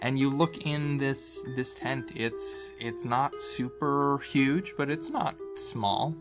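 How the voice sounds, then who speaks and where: A man's voice: mid-range voice, takes it slowly, music in the background. A man acting as game master, describing scenes in an improvised, conversational way with hedging asides. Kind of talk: improvised tabletop game narration.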